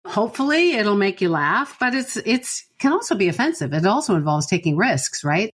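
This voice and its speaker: A woman's voice: mid-range voice, very animated, normal speed, husky. A middle-aged woman co-hosting a podcast, chatting casually and teasing playfully. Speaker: podcast co-host. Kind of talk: casual chat.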